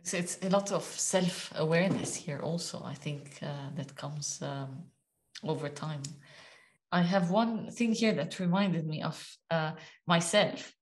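A woman hosting a podcast over a video call, calm and unhurried. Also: low voice. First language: not Italian. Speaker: podcast host. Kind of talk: casual video-call conversation.

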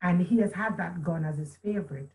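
A woman with a somewhat low voice speaks earnestly and reflectively. She tells a personal story as a guest on a webcam call from a room at home. She often restarts her sentences and slips into quoted speech. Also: brisk, darkish tone. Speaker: author and guest. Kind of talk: storytelling in a video call interview. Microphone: video call webcam.

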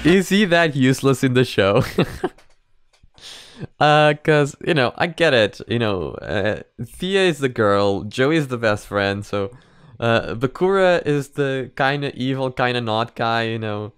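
A man's voice: medium pitch, animated, unhurried, clean tone, no room sound. A man in his twenties chatting casually as a reaction channel host, repeating himself for emphasis, close to a microphone at home. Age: 20s. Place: small home room, indoors. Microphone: microphone on boom arm, close.

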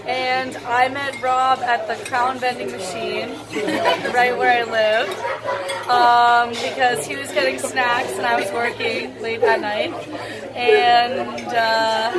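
A young American woman with a high voice and an unhurried pace. She chats to camera over a loud restaurant crowd. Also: flat delivery. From Connecticut, United States. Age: young adult. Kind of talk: casual on-camera interview answers. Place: busy restaurant dining room. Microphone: camera at table distance.

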